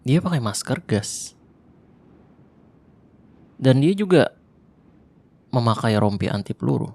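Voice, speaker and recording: A young man performing an ASMR roleplay monologue in casual slangy speech, tense and hushed at times, close to the mic. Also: mid-range voice, expressive, slow, vocal fry, no room sound. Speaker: voice actor.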